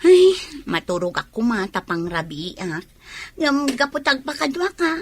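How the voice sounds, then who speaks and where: A woman's voice: fairly high pitch, big pitch swings, a little slow, slight background noise. A woman playing a playful, teasing young nurse in a radio comedy drama.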